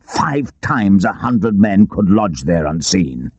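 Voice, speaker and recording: Little John, a man playing a hearty, animated outlaw. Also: sits low, slow pace, slightly rough, no room sound, lo-fi sound, exaggerated voice. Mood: hearty, eager.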